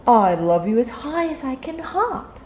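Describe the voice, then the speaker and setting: A woman's voice: high-pitched, sing-song, a little slow, darkish tone, a little hiss or hum, voice pushed up. A woman voicing an affectionate, eager little young male hare in a children's storybook read aloud. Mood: eager, loving.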